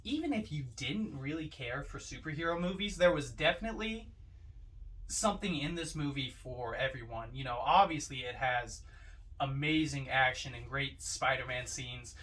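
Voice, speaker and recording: A man's voice: medium pitch, animated, moderate pace, fairly bright tone. A man giving his opinions as co-host of a casual film discussion, picked up from a little distance. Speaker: podcast co-host. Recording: distant mic.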